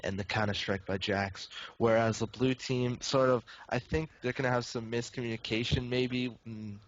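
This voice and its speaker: A young man with a South African-Australian mix accent breaks down the match in a relaxed, chatty way. His voice sits fairly low. The recording sounds dull and lo-fi. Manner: relaxed, chatty analysis with filler words. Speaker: esports caster. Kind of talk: game commentary.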